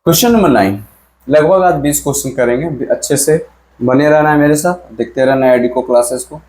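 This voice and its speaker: A man's voice: a little high, a little fast, darkish tone, a little hiss or hum. A male teacher explaining grammar in an exam-prep lesson. He quizzes the viewers again and again and repeats key words. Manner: stretches out English sentences he reads aloud. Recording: echoey room.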